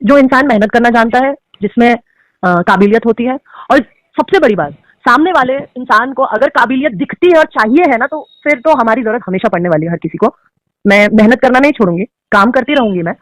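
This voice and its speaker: A woman's voice: on the high side, a little fast, no room sound, low-quality recording. A young woman, an actress, answering interview questions in an outspoken, emphatic way and slipping into English phrases.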